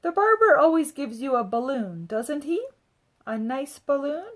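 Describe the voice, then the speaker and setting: A woman's voice: sits high, big pitch swings, unhurried, voice pushed up. A woman voicing an exasperated, fretful mother protesting in disbelief in a children's storybook. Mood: insistent. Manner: protesting, incredulous.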